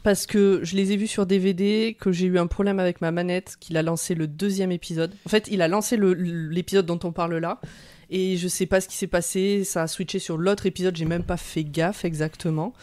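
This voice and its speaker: A woman's voice: fairly low pitch, fairly even intonation, brisk, a little mellow. A woman podcast panelist chatting casually, jokey and prone to digressions. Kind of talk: casual chat, episode summary.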